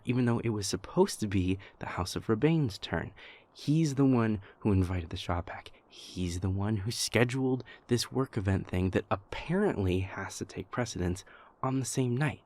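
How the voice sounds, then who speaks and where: A man playing an anxious, exasperated young vampire prince in an intimate audio roleplay, speaking in a halting, stammering way. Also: fairly low pitch, animated, darkish tone. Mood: exasperated, baffled. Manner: halting, stammering, venting, sighing pauses.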